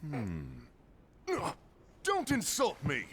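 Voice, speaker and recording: Gruff, prickly warrior apprentice. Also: male voice, fairly high pitch, wildly swooping pitch, slow pace, a bit bright, slight background noise, heavily acted. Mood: irritated. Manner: curt, stern. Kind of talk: animated fantasy game cutscene acting.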